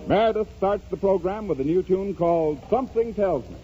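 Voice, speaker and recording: A man's voice: high-pitched, lively intonation, fairly quick. An American man announcing a variety program in 1930s radio style. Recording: muffled 1930s radio recording. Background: loud music.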